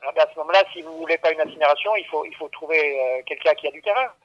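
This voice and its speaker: Male clinic employee, high-pitched for a man, muffled phone sound; halting, repetitive, patient explanations in unscripted conversation. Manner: hesitant, stammering, repeating himself, patiently explaining. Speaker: veterinary clinic staff taking a call. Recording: dull, lo-fi phone line.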